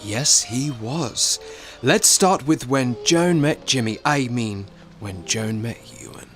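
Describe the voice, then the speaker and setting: 1930s radio producer, genial, pompous, brisk, medium pitch, radio drama, music underneath. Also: male voice, expressive. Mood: genial, self-satisfied. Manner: brisk, pedantic, mildly pompous. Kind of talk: radio play acting and narration.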